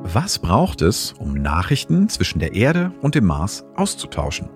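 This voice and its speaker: Male science presenter, low register, lively intonation, scripted explainer narration. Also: a little slow, music in the background.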